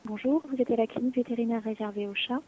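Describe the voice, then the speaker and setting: A woman's voice: on the high side, fairly even intonation, quick pace. A woman reading a recorded clinic greeting that asks callers to hold, heard over the telephone. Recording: tinny, noisy phone line.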